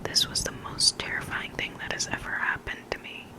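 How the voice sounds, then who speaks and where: Whispered creepy ASMR storytelling, close to the mic. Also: normal speed. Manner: whispering throughout, sometimes repeating words. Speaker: ASMR reader of listener stories. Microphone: close ASMR microphone.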